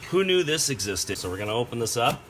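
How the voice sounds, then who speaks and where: A man's voice: mid-range voice, sing-song, quick pace. A man giving a casual, rambling unboxing commentary with wry asides, as a collector showing off a toy. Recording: noisy homemade video recording.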